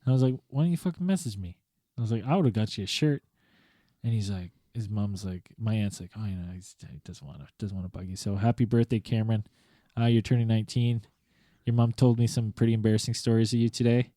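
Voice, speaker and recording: A man co-hosting a podcast in a studio, chatting casually and telling animated, sweary stories. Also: lowish voice, fast talker, no room sound. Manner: animated storyteller, swears, acts out quotes. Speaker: podcast co-host. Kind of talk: casual chat.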